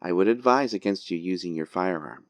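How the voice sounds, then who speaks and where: A man playing a detached artificial intelligence in a cyberpunk audio drama, speaking in formal, measured, clinical phrases. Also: deep voice, no echo. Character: male artificial intelligence program with grafted human personality, detached, formal.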